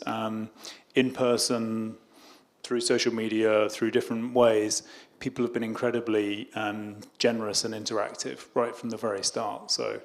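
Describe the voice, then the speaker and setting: Hesitant British man fielding questions. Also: on the low side. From Britain. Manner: hesitant, restarts and repeats words. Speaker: British diplomat answering audience questions. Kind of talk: public Q&A answers.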